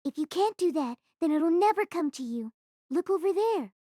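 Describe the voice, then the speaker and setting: A bold teenage schoolgirl, acted by a woman in an audio drama, with a high, soft-edged voice. She is teasing and pushy, a meddling friend, recorded dry and close. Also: expressive, moderate pace, no echo. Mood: urging.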